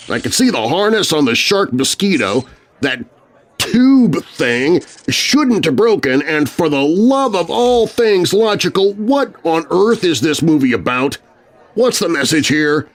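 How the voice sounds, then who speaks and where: A man's voice: on the high side, sing-song, a little slow, pitched above the performer's own voice. Man playing a growling, exasperated film director. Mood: bitter exasperation. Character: bitter, angry, self-important male film director.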